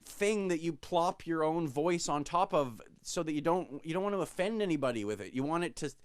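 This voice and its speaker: Halting, rambling man in his forties. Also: on the high side, lively intonation. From Quebec, Canada. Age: 40s. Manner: rambling, self-correcting, halting. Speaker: musician guest. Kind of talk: reflective conversational answers.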